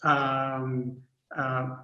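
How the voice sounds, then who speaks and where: A man from Northern Sudan, an art historian speaking on an academic panel, halting often with repeated fillers and restarts. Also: medium pitch, completely flat delivery, pushed and bright, highs cut off. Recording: roomy echo.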